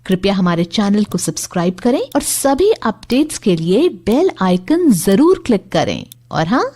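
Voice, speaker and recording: A woman's voice: mid-range voice, big pitch swings, unhurried, warm tone. A woman channel announcer reading a scripted outro.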